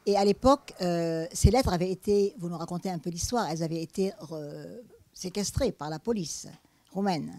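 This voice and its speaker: A woman moderating a bookshop panel, attentive and quick with short affirmations. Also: lowish voice, darkish tone, no echo. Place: bookshop event.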